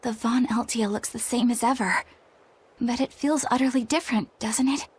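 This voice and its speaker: A woman's voice: on the high side, steady tone, normal speed, husky. A woman playing an earnest, principled young woman in a fantasy video game, speaking sincerely and properly.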